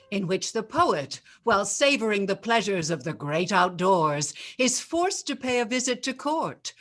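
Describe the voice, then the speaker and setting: Slow, lively-pitched woman announcer over music. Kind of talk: scripted theatrical announcement.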